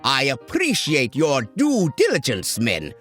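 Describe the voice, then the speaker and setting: An actor playing a calm, patient small-town cartoon sheriff, measured, folksy and diplomatic. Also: male voice, mid-range voice, soft background music, heavily acted. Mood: calm, firm.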